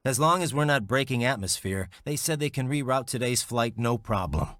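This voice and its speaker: Male actor, cyborg soldier hero, medium pitch, matter-of-fact wisecracker, close dry sound, video game. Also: animated, normal speed, a bit bright, no room sound, lightly acted. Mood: dry, relaxed. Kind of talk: video game cutscene acting.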